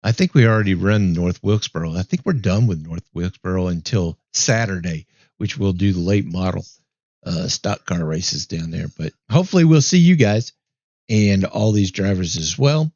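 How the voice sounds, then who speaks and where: A man hosting a race broadcast in a casual chat, easygoing and upbeat, his voice going hoarse. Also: sits low, expressive, moderate pace, darkish tone, no echo. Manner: easygoing, upbeat, rambling with fillers. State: losing his voice, clearing throat. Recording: dry, dull lo-fi mic.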